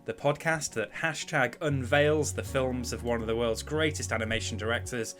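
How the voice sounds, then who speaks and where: A man with a Mancunian accent hosting a film podcast in casual chat, relaxed and enthusiastic, laughing easily. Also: medium pitch, moderate pace, faint music behind. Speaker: podcast host. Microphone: headset.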